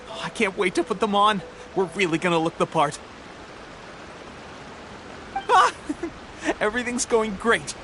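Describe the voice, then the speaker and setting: An actor playing an eager, boastful, cheerful young soldier in a video game, with an exuberant manner. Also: male voice, high-pitched. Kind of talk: video game character acting.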